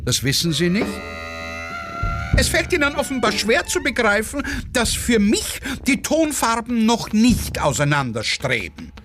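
A man's voice: high voice, wildly swooping pitch, a little slow, fairly bright tone, soft background music, heavily acted. A man voicing a theatrical, affectedly thrilled female war correspondent in a declamatory, gushing manner, as part of a dramatic play reading. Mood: eager, gushing. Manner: affected, declamatory, breathlessly enthusiastic.

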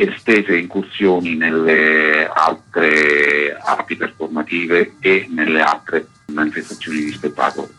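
A man gives formal explanations in a radio interview, pausing as he searches for words. His voice is very low and husky, with faint music behind it. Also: sharp tone, low-quality recording. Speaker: interview guest, festival artistic director.

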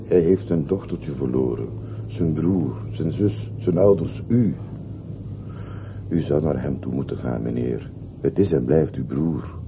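A man's voice: extremely low pitch, unhurried. An actor playing a plain-spoken, deferential working man in a radio play, politely and earnestly insistent, on an old recording. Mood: earnest, confiding. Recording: noisy, muffled, phone-like old radio recording.

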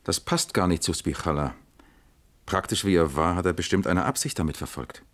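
Deep male voice, East German police captain, probing, clipped, businesslike, radio drama. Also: moderate pace, fairly bright tone. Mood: pondering. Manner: interrogating, clipped questions.

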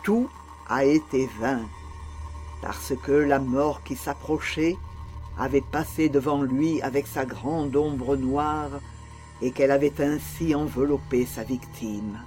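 A man playing a nervous, obsessed murderer confessing in a theatrical, halting and deliberate literary narration. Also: medium pitch, darkish tone, lightly acted. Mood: hushed, menacing. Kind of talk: dramatic literary audiobook narration. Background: music under the voice.

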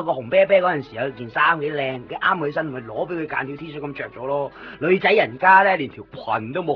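An actor playing a fussy, excitable toy shop owner in a radio drama, chatty and stammering, with a Hong Kong Cantonese accent. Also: male voice, a little high, natural voice. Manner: animated, chatty, hesitant stammering. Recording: muffled 1990s radio, music behind.

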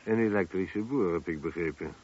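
An actor playing a probing, wry police inspector who muses and questions with dry irony in a radio play. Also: male voice, low voice, fairly quick, a little mellow, husky. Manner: musing, questioning, dryly ironic. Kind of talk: Dutch radio drama dialogue. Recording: muffled lo-fi old radio recording.

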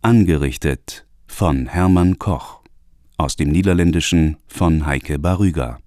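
Very deep, slow male radio announcer. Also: darkish tone, no echo. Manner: measured, neutral. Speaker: announcer reading credits. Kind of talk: formal scripted radio announcement.

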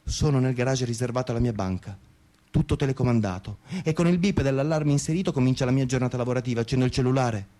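An actor playing a cynical, sneering well-off banker in a profane, scornful radio drama monologue. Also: male voice, medium pitch, fast, mellow, natural voice. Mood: disgusted, cynical. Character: cynical, well-off divorced banker, male, sneering and self-justifying. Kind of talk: theatrical first-person monologue, radio drama.

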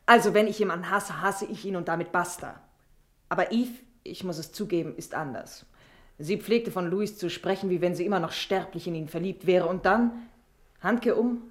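Actress as a blunt, wry woman, lower-pitched. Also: natural voice. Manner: blunt, dryly teasing. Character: frank, sharp-tongued, wry working woman, Miss Mortimer. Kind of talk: radio drama acting.

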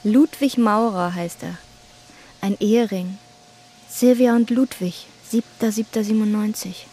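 An actress voicing the quiet, brooding inner monologue of an obsessive young hotel maid in a radio drama. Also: female voice, mid-range voice, unhurried, lightly acted. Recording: narration over loud music. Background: loud music.

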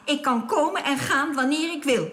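An actress playing a composed, loyal alien guide in a radio drama, with an earnest way of speaking. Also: female voice, high voice, moderate pace, natural voice.

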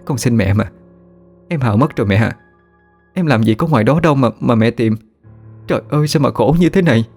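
A man's voice: mid-range voice, animated, quick pace, a little mellow, soft background music, voice pushed up, close to an everyday voice. A man voicing a tearful, exhausted grown daughter who pleads and explains.

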